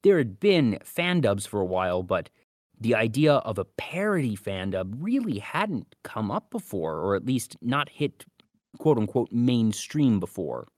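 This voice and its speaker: Male podcast co-host, lowish voice, dry close sound, measured, conversational, explanatory. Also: very animated, darkish tone, dry recording. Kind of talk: casual explanatory podcast talk.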